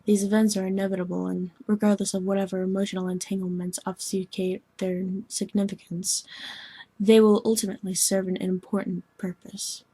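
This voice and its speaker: Woman acting a calm, wordy, deadpan young alien woman. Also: medium pitch, breathy edge, poor audio. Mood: matter-of-fact. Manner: measured, precise, verbose, deadpan. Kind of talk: scripted audition dialogue.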